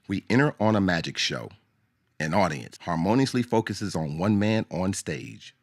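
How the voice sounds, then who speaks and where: A man narrating a scripted radio drama as a storyteller, in a measured, dramatic way. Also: deep voice, expressive, no echo, clean studio sound.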